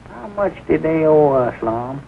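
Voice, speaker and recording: An actor playing a soft-hearted, fretful older country storekeeper with a rural Southern accent, in 1940s film style. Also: male voice, fairly high pitch, lively intonation, a little slow, slight background noise. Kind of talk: 1940s film comedy-drama acting. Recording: tinny 1940s film soundtrack, loud music behind.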